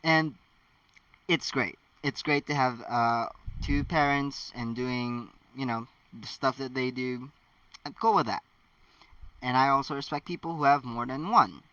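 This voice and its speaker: A man reading stories aloud casually, with chatty, halting asides of his own. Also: medium pitch, sharp tone, no echo, recorded from a distance, highs cut off. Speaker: amateur fan fiction narrator. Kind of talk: casual read-aloud with rambling personal commentary.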